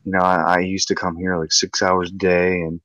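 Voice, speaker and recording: Deep-voiced man in his thirties, stammering. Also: flat delivery, brisk, fairly bright tone, no echo, low-quality recording. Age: 30s. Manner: stammering, restarts a lot, laid-back. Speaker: livestream co-host. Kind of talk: casual chat.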